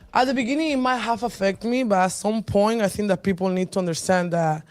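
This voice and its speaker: Deep voiced woman, blunt chatty guest, dry close mic. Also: moderate pace, dry recording, soft background music. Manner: blunt, frequent "you know what I mean". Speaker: interview guest. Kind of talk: casual interview chat.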